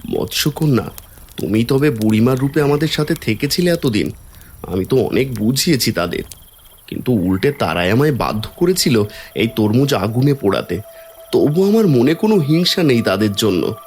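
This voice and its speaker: A man's voice: mid-range voice, very animated, normal speed, a little hiss or hum, close to an everyday voice. A man playing a humble, forgiving village farmer in a cartoon folk tale, speaking earnestly and with moral conviction. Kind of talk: Bengali cartoon voice acting. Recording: music behind the voice.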